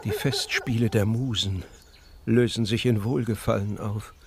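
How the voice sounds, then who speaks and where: An actor playing an aggrieved, self-important festival impresario in a declamatory radio drama manner. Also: male voice, fairly low pitch, a little slow, background noise. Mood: dismayed.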